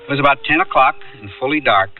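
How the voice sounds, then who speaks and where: A wry bachelor adman played by a male actor in a radio drama, with an everyday mid-pitched voice. The sound is narrow and a little distant, with music clearly behind it. He tells his story in a measured, reflective way. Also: lightly acted. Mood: wry, nostalgic. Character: wry bachelor adman reminiscing about a summer holiday. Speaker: narrator and character in a radio play. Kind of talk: 1970s American radio drama acting.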